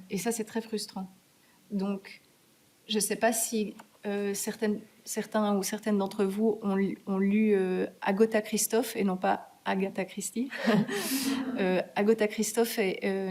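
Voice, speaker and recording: A woman's voice: mid-range voice, mellow. A woman, a guest author answering students' questions in a hesitant, casual way, full of fillers and restarts. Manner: hesitant, many fillers and restarts, laughs easily. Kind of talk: conversational Q&A answers. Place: university meeting room.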